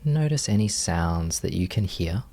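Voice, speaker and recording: A man with a low-pitched voice guides a meditation. He speaks very slowly and gently, leaving pauses between short phrases. The delivery is that of a teacher giving soft, unhurried instructions. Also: lively intonation.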